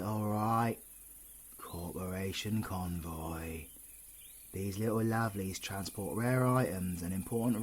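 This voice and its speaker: Male actor as cocky British supervillain for audio roleplay: gruff, sardonic, husky, unhurried, teasing the listener up close. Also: lowish voice, darkish tone. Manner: gruff, sardonic, swearing banter.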